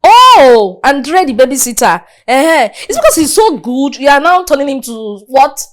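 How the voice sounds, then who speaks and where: An actress playing a blunt, teasing friend who argues back. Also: female voice, high voice, big pitch swings, normal speed.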